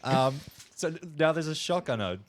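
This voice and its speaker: A man's voice: fairly high pitch, animated, normal speed, a little hiss or hum. A man co-hosting a casual sports chat podcast. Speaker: podcast co-host.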